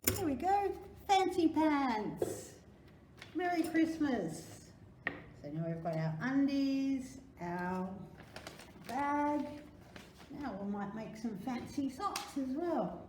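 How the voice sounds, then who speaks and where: A woman's voice: high voice, expressive, slow. An Australian woman presenting to children, talking through craft steps in a chatty, singsong, encouraging way. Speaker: library storytime presenter. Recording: echoey room, faint background noise.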